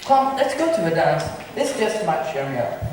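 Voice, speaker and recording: A gloomy, bitter villain played by an amateur stage actor declaims with big swings in pitch. He broods and schemes like a resentful prince's brother. The sound is distant, in a very echoey hall. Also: very animated, moderate pace, a little mellow, a little hiss or hum, close to an everyday voice. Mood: scheming. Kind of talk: amateur stage acting of modernized Shakespeare. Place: large echoey room, school drama performance.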